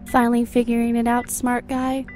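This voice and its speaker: An actress plays an undead wife haunting a nightmare in an audio drama. Her voice is a little high, with a mocking, cryptic manner. Music sits faintly behind her. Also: completely flat delivery, moderate pace, darkish tone, lightly acted.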